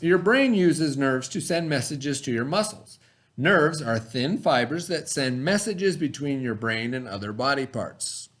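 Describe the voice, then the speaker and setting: Male teacher, middle-aged, higher-pitched voice, very varied intonation, reading aloud and explaining for children. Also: a bit bright, slight background noise. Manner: instructional, pauses to define and repeat terms. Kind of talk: read-aloud lesson with explanatory asides.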